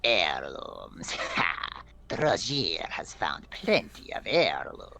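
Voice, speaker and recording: A man's voice: low voice, very animated, slow pace, creaky, bright, forceful tone, pitched below the performer's own voice, heavily acted. A man voicing a sly, boastful male cat-folk thief in a fan game-style audition. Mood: sly gloating.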